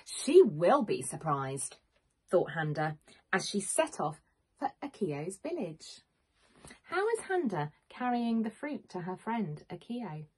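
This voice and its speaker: A woman, a teacher reading a picture book to young children in an expressive, questioning manner. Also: fairly low pitch, slow pace. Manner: expressive, questioning, clearly addressing small children. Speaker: primary school teacher. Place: small home room with bookshelves, indoors.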